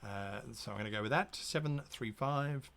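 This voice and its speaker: A man narrating a gamebook as game master on a live stream, with lively asides and pirate growls. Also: lowish voice, moderate pace.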